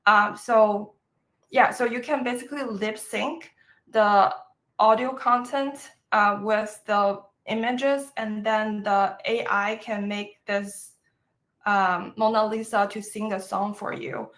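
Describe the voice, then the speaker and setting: A woman's voice: medium pitch, steady tone, fairly bright tone. Chinese-accented woman presenting with frequent fillers. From China. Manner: halting, many fillers, friendly. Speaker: professor presenting. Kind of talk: webinar presentation.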